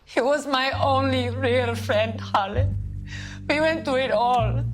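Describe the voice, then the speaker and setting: Brief: a bitter German-accented singer, high voice, music behind. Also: female voice, slow pace. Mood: grieving. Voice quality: bitter, emotional. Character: grieving German nightclub singer, woman.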